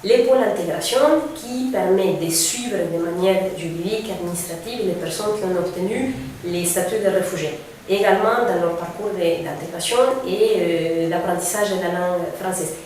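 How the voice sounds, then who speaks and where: A woman's voice: on the low side, a little mellow. Echoey, distant woman in her thirties from Mexico. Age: 30s. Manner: explanatory, measured, with fillers. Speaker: refugee aid coordinator. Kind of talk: presentation to camera. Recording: very echoey room, distant mic, faint noise.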